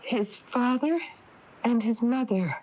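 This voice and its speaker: An American actress playing a nosy, headstrong young small-town wife in an emphatic 1980s radio drama style. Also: female voice, a little high, takes it slowly. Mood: gossipy, exasperated. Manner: emphatic, insistent. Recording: dull lo-fi AM radio tape.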